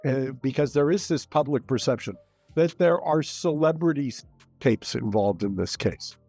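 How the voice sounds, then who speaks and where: A man's voice: mid-range voice, moderate pace, dark tone, dry recording, faint music behind. A man interviewing in a conversational style.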